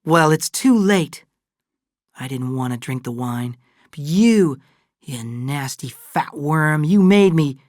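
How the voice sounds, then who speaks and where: Woman reader doing controlled daughter character, bit scheming underneath, slow careful talk, storybook dialogue. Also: low-pitched, dry recording, clean studio sound, voice pushed up. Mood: bitter. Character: restrained daughter with a cold, scheming streak. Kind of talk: dramatised audiobook dialogue.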